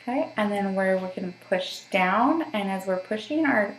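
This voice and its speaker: A woman's voice: mid-range voice, expressive, normal speed, echoey room, a little hiss or hum, rough recording. A woman working as a voice therapist, giving patient step-by-step instructions for voice exercises and checking in often. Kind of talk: guided voice therapy session.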